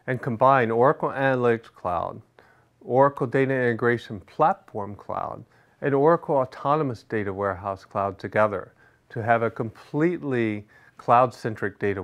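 A middle-aged man gives a scripted product explainer from a studio set. His delivery is steady and instructive, with rhetorical check-ins between points. The sound is clean and close, as from a lapel mic. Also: mid-range voice. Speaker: product management executive presenting. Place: studio with large screen behind.

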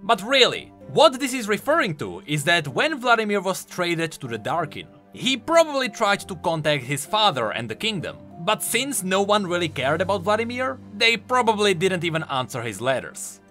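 A man's voice: fairly high pitch, sharp tone. A man giving emphatic, enthusiastic voice-over commentary, explaining the lore of a video game. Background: music.

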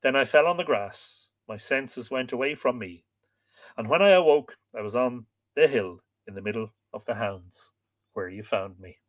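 A man's voice: medium pitch, expressive, moderate pace, close to an everyday voice. A man reading the lines of a wild young boy raised by a deer, telling his sorrowful tale.

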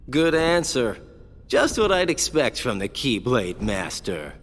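A man's voice: medium pitch, very animated, takes it slowly, heavily acted. A man voicing a smug, cryptic villain who taunts and speaks in riddles. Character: smug, cryptic adult man of a dark order. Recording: loud game music behind.

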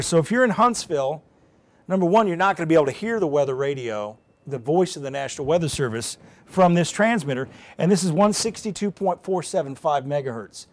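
A middle-aged man presenting weather on TV, giving an informal live how-to and thinking aloud as he goes. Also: a little high. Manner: informal, thinking aloud while handling a device. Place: TV studio. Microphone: lapel mic.

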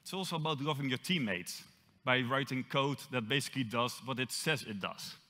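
A man's voice: mid-range voice, fairly quick, bright, forceful tone. A young man from the Netherlands with a Dutch accent, giving a conference talk on stage with light self-deprecating humor. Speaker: conference speaker. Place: large auditorium stage. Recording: reverberant hall.

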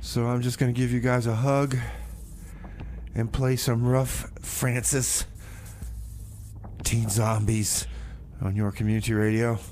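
A man presents music on the radio at an unhurried pace. His delivery is folksy and self-deprecating, with hesitations and repeated phrases. There is some noise behind his voice. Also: medium pitch, level tone, a little mellow. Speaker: radio DJ and show host. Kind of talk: rambling music radio presenting between songs.

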